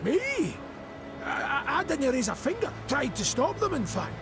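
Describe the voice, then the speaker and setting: A man playing an earthy, blustering dwarf clansman in a video game, with a Scottish accent and a gravelly voice. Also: sits high, animated, unhurried, over music, exaggerated voice. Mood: defensive. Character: crude, evasive dwarf clansman confessing uneasily. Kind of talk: scripted video game voice acting.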